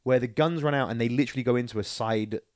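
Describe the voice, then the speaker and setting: A man co-hosting a podcast, talking casually and in a rambling, animated way about films and games. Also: mid-range voice, quick pace, no echo. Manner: animated, rambling, acts out quotes. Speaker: podcast co-host. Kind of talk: casual film and video game chat.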